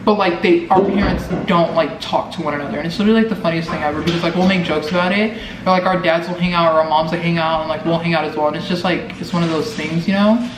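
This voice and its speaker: A man's voice: a little high, steady tone, quick pace. An eighteen-year-old man with an American accent, chatting casually and ramblingly to camera with lots of filler words. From Omaha, Nebraska, United States. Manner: informal, rambling, heavy use of "like". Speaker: vlogger. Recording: echoey noisy room, distant mic.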